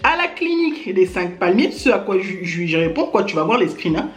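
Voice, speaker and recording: A woman's voice: mid-range voice, very animated, fairly quick. A Gabon-born woman YouTuber ranting indignantly to her camera, speaking straight at her critics and filling her talk with little tags. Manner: indignant, addresses critics directly, many fillers like "hein" and "genre". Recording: echoey room with faint music.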